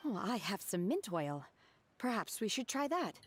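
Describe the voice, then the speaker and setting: A woman playing a composed, literal-minded young woman in a video game, formal and deadpan, with music behind. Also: mid-range voice, normal speed, lightly acted. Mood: calm, matter-of-fact. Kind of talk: video game dialogue acting.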